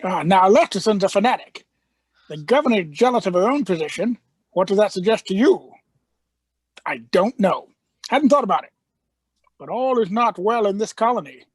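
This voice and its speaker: A woman playing an eccentric, shrewd time traveller in a radio sci-fi serial, husky, musing aloud and repeating phrases. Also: mid-range voice, expressive, normal speed, long pauses, no echo.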